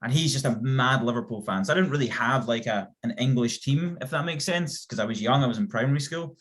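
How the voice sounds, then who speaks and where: A man from Scotland co-hosting a podcast, telling stories in casual, chatty talk with lots of fillers. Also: medium pitch. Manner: chatty, fast-shifting storytelling with fillers. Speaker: podcast co-host. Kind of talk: casual chat.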